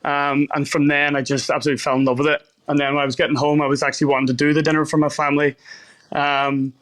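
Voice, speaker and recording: A man's voice: on the high side, little pitch movement, fast, a bit bright. A man with a Northern Irish accent talking casually as an interview guest, often rephrasing himself as he goes. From Belfast, Northern Ireland. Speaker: chef, podcast guest.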